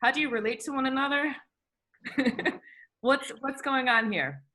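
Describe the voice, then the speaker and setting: A woman host with a bright voice pitched a little above average. She runs a casual interview, sounding playful and upbeat as she prompts her guests. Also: normal speed, slight background noise.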